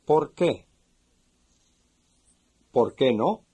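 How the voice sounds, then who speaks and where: A man with a Spain Spanish accent playing a courteous, sociable Spanish man in a language-course dialogue, speaking slowly and clearly for learners. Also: mid-range voice, lively intonation, dark tone, exaggerated voice. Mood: clear, deliberate. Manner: slow and clearly spoken for learners.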